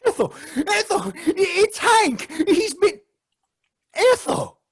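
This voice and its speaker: A man playing an excitable, grumbling farm husband in a radio play, with a folksy, stammering delivery. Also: very high voice, slow pace, no echo, exaggerated voice. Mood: panicked, shouting. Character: excitable, grumbling farmhand husband Herman, rural and superstitious. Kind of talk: old-time radio play acting. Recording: dry live video-call recording.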